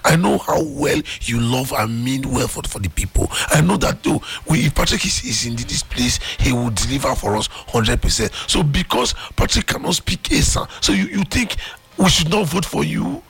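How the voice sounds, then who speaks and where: A Nigerian man argues on a panel show with a gravelly voice, pitched a little high. His delivery is animated and emphatic, swinging widely as he pushes back on the others. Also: normal speed, noisy background. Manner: argumentative, emphatic.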